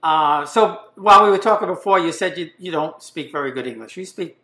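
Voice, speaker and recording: A man in his seventies hosting an interview, asking questions in a relaxed, chatty way, with plenty of fillers and restarts. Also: fairly high pitch, a little fast, roomy sound. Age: 70s. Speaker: podcast host, interviewer. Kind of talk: casual interview.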